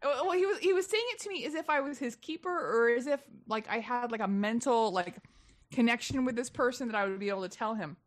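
A young adult woman, a stand-up comedian, chatting casually on a podcast and telling gossipy road stories with lively animation. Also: a little high, fairly quick, dry recording. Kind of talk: casual podcast chat, storytelling.

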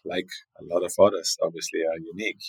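A man's voice: low voice, flat delivery, fast talker, slightly rough, low-quality recording. A man from South Tyrol with a South Tyrolean German accent, speaking English as a second language in a podcast interview, hesitant and often repeating words as he searches for phrasing. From South Tyrol, northern Italy. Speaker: winery guest.

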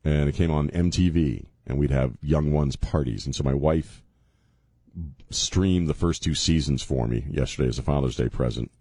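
Deep-voiced rambling male radio host. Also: normal speed, warm tone, breathy edge, dry recording. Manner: rambling, sardonic, restarts often. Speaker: radio talk show host. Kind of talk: casual talk radio monologue. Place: radio studio. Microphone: studio microphone.

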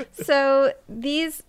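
A woman host explaining a science topic in casual, playful, jokey podcast chat. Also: high voice.

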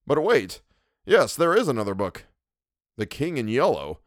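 A man voicing a haunted, obsessive young man in an audiobook, speaking in a flat, guarded, curt way. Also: mid-range voice, normal speed, dry recording, studio quality, pitched above the performer's own voice, natural voice.

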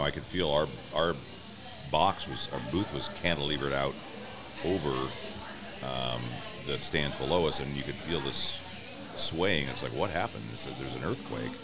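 A middle-aged man with an extremely low voice tells stories as an interview guest. His manner is easygoing and wry, wandering a little as he casually explains things. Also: normal speed, over music. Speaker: stadium public address announcer, interview guest. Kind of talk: casual conversational storytelling.

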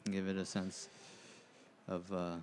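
A man's voice: sits low, monotone, measured pace, a little mellow, a little hiss or hum. A man in his thirties, a guest on a casual podcast, talking in a laid-back, rambling way. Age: 30s.

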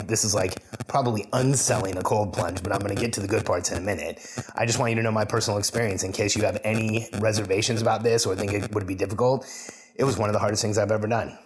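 A man's voice: fairly low pitch, rough recording. A man hosting a solo podcast, telling a personal story in an earnest, confessional way with frequent restarts. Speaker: podcast host.

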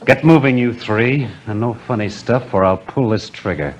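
An actor in a 1950s radio drama playing a menacing, defiant crook with a gun, barking threats and orders. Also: male voice, medium pitch, normal speed, highs cut off, background noise, lightly acted. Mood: cold, commanding. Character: menacing gunman and drug-ring crook, defiant, commanding.

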